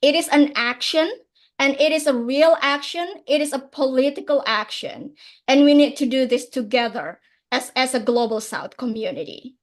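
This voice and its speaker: A woman with an Indonesian accent speaks in a high, bright register. She delivers a fervent academic conference talk with conviction. She keeps checking in with the audience with "right?" as she goes. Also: moderate pace. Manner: passionate, often ends points with "right?".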